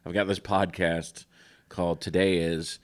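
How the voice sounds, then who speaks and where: A man co-hosting a podcast in casual, chatty talk, his voice slightly shot and hoarse. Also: low voice, little pitch movement, unhurried. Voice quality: slightly worn, tired-sounding voice. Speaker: podcast co-host.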